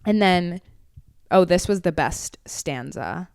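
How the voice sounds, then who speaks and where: A woman's voice: fairly low pitch, animated, slow, creaky, clean tone. A young woman co-hosting a casual podcast, telling stories and reading messages aloud in a mocking, dramatic way. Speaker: podcast co-host. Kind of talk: casual chat, reading ex's emails aloud.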